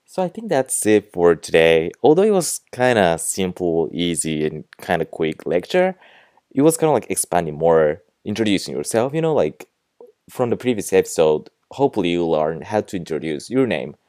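A young man with a Japanese accent, whose first language is Japanese, hosts a casual podcast lesson in English. His voice is low and smooth, recorded close and dry with no room sound. He rambles in a friendly way, with many "like"s and "you know"s. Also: big pitch swings, moderate pace, darkish tone, no room sound. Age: young adult. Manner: chatty, fills speech with "like" and "you know". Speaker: podcast host and language teacher.

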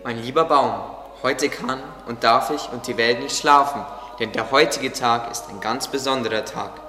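Guy as a pious gardener, solemn n preachy, radio play, forceful voice, lots of echo. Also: mid-range voice, fairly even intonation, normal speed, natural voice. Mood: urgent, solemn. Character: devout, solemn male gardener, fond of his tree. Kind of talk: religious radio play acting. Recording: echoey long reverb, music behind.

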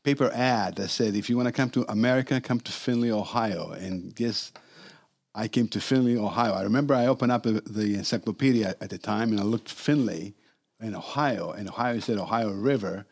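A man in his sixties from Greece, with a fairly low voice. He is a guest on a podcast and tells his story in a relaxed way, chuckling now and then. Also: brisk. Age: 60s. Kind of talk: interview storytelling.

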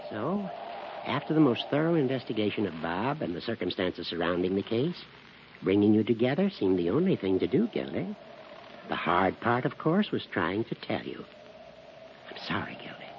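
An American man playing a considerate judge and family friend in gentle, measured 1940s radio acting. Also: on the low side, big pitch swings. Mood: regretful. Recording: muffled, noisy 1940s radio recording.

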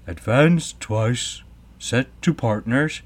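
A man's voice: medium pitch, takes it slowly, voice pushed up. A man voicing a sad, tearful, earnest sea turtle in a storybook. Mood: animated, instructing. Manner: mournful, solemn, earnest. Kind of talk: storybook character voice.

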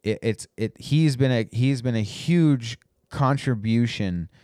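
A man's voice: mid-range voice, takes it slowly, mellow, dry recording, clean studio sound. A man co-hosting a podcast, rambling in casual, profane chat with frequent restarts. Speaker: podcast co-host.

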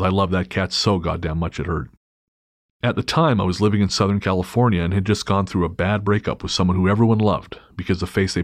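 Deep-voiced candid male podcast host. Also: dry recording, clean studio sound. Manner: thoughtful, candid, self-deprecating. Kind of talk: casual podcast chat and read-out story.

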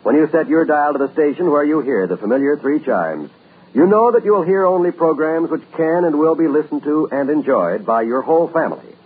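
A man's voice: on the high side, very animated, a little fast, slightly rough. A man making a formal network announcement in a stately, earnest manner. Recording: tinny old radio broadcast.

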